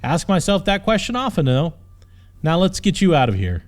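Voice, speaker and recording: A man's voice: on the high side, big pitch swings, normal speed. A man voicing a cocky, flippant, bumbling knight with a breezy, wisecracking manner in a comic fantasy audiobook.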